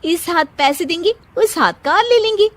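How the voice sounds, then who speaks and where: A woman voicing a boastful, bossy young wife in a cartoon story, high and excited. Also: normal speed. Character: boastful young wife, proud of her hands.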